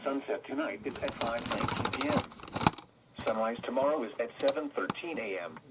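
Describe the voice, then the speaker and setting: An automated American male voice reading a scripted weather bulletin in a measured, impersonal way through a weather radio speaker. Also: medium pitch, lively intonation. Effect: played through a weather radio speaker. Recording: distant radio speaker with faint noise.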